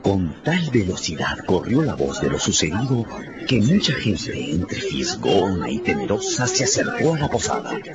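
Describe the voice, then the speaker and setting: A man's voice: low-pitched, wildly swooping pitch, normal speed, highs cut off, very noisy. A man delivering dramatic scripted narration for a radio story.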